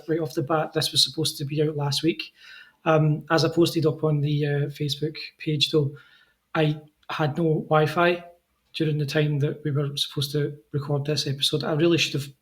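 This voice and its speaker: A man hosting a music podcast, chatty and self-deprecating as he introduces his guest. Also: fairly high pitch. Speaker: podcast host. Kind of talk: casual interview chat.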